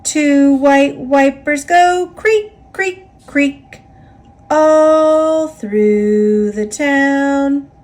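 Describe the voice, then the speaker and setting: High-pitched American woman, slow storybook reader. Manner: expressive, deliberate storytelling with rhythmic repetition. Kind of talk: read-aloud children's story narration, partly sing-song.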